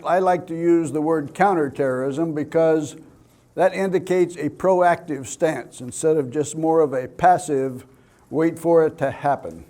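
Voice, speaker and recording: An older man giving a security talk as an expert speaker, in deliberate, measured phrases with pauses. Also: a little high, darkish tone. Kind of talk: public training talk with personal anecdotes.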